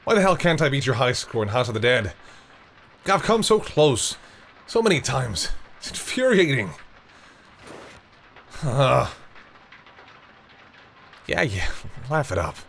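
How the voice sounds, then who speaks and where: A man playing a flustered, tender and grudgingly fond vampire boyfriend in an intimate roleplay audio, hesitant and teasing, with a husky voice. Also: medium pitch, very animated, measured pace, long pauses, fairly bright tone, slight background noise, exaggerated voice. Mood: playful exasperation. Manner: hesitant, easily embarrassed, teasing.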